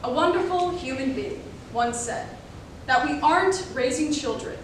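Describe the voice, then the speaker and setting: A young woman delivering a dramatic readers theater monologue, deliberate and emphatic, in broken phrases. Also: sits high, expressive. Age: young adult. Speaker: college speech competitor. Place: indoor performance room, recorded from afar. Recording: very echoey room, distant mic, noisy.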